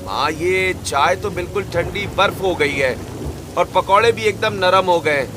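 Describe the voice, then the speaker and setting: A hungry, grumbling farmer son from a family cartoon, voiced by a man. His voice is slightly high and emphatic with complaint, with clear rain noise behind. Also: measured pace. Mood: irritated, complaining. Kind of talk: Hindi animated family story acting. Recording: loud rain ambience behind voice.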